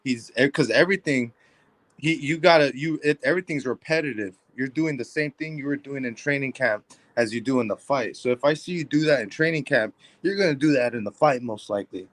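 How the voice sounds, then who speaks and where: Male podcast co-host, mid pitch, relaxed rambling talker, dry sound. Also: a little fast, dry recording. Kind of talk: casual chat.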